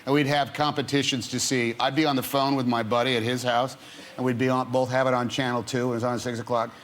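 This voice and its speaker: A man's voice: medium pitch, flat delivery, quick pace, a bit bright, a little hiss or hum. A man, a chat show guest, joking playfully. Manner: jokey, playful. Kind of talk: casual chat show banter.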